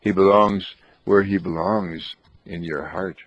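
A man voicing a gentle, brave boy in a wheelchair who talks in soft, soothing reassurance. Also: sits low, fairly even intonation, takes it slowly. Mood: earnest. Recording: dull lo-fi recording.

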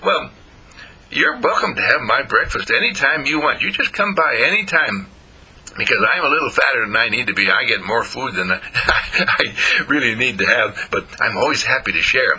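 A man voicing a friendly, trusting puppy dog in a told story, gentle, affectionate and earnest. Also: mid-range voice, expressive, normal speed, breathy edge, heavily acted, poor audio. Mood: cheerful, generous.

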